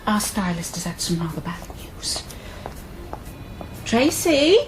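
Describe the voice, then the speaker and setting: A woman's voice: mid-range voice, big pitch swings, takes it slowly, music in the background. An actress playing a polite, motherly salon manageress, genteel and careful, in a radio drama. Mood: apologetic, soothing.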